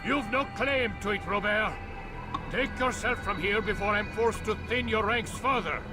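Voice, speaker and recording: A proud old master of assassins played by a man calls out in a raised, high pitch over music. He is formal, defiant and commanding, in game drama style. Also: moderate pace, natural voice. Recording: music behind.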